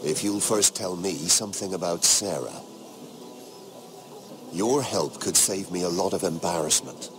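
An actor playing a courteous, wary middle-aged lord in a fantasy game, confiding gossip discreetly. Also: male voice, low-pitched, a little slow, long pauses, a crowd in the background. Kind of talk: dramatic fantasy game acting.

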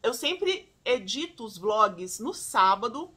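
Woman, 40s, home beauty vlogger, high crisp voice, chatty, talks to camera at close range. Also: slow pace. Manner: animated, chatty, often addresses viewers as "gente". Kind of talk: casual product recommendations to camera. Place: home room, indoors.

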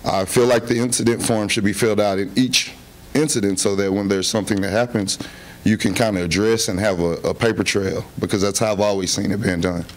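A man giving public comment as a parent at a board meeting, speaking in a halting, earnest, polite way. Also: lowish voice, fairly even intonation. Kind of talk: unscripted public address to a board. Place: public meeting room, indoors.

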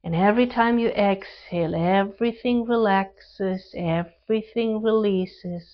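A woman guides a relaxation meditation at a very slow pace. Her mid-pitched voice gives calm, gentle instructions in short phrases, leaving space between them. The recording is a little dull and lo-fi.

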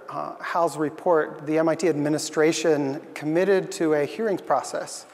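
Young man, conference talk, earnest, filler words, fairly high voice, reverberant hall. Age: young adult. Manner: earnest, thoughtful, with frequent uh and um fillers. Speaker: conference speaker. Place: stage in a large hall.

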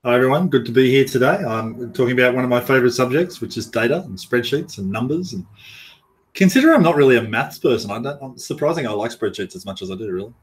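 A man's voice: mid-range voice, fast. A man, co-presenting a webinar casually over a video call. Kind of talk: casual chat.

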